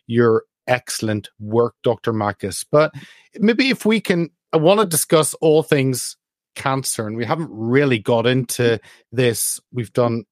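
Male podcast host, average pitch, polite casual lead-in, dry recording. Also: lively intonation, a little slow, no echo. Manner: polite, loose phrasing with fillers. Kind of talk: interview host introduction.

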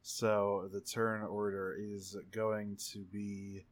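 A man narrating improvised action in a tabletop roleplaying game, halting as he thinks aloud, with dramatic flourishes. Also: fairly low pitch, flat delivery. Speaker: tabletop game player narrating his character.

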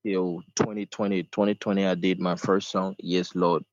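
Deep-voiced man on a phone. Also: little pitch movement, no room sound. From Liberia, West Africa. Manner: polite, halting, with fillers. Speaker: guest musician. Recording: muffled phone line.

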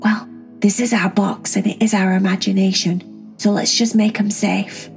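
A woman voicing a calm, sensible, smart female cat who reassures her sisters in a storybook. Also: medium pitch, moderate pace, creaky, faint music behind, lightly acted.

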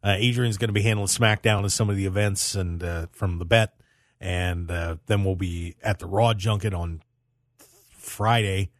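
Male radio host, American accent, lowish pitch, jokey rambling chat, very dry studio sound. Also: fairly even intonation, normal speed, dry recording. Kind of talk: casual sports talk radio chat.

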